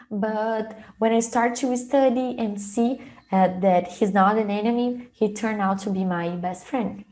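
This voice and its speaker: A young adult woman from Brazil, a native Portuguese speaker with a Brazilian accent, presenting an informal online webinar with hesitant, halting phrasing. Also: medium pitch, mellow. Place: small indoor room, video call.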